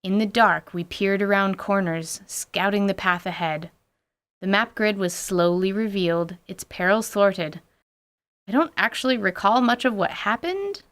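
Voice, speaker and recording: A woman's voice: medium pitch, a little slow, fairly bright tone. A woman narrating a scripted personal story with wry, casual asides and light swearing. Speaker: podcast host.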